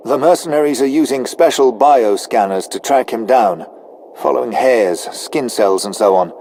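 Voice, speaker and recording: An actor playing a deferential, anxious non-human military officer in a video game, formal and precise. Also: male voice, medium pitch, moderate pace, mellow, a little hiss or hum, lightly acted. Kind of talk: scripted video game dialogue.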